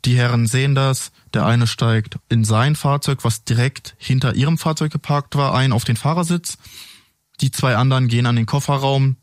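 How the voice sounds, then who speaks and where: A man who is a native speaker of both French and German, a police officer recounting events in a measured, explanatory way with pauses, as an interview guest. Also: medium pitch, steady tone, no echo, studio quality. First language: French and German, bilingual. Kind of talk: recounting a case in a studio interview. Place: studio.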